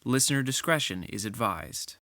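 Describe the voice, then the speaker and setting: A man's voice: medium pitch, animated, normal speed, dry recording. A man reading scripted, documentary-style narration as the narrator of an audio story. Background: loud music with drums.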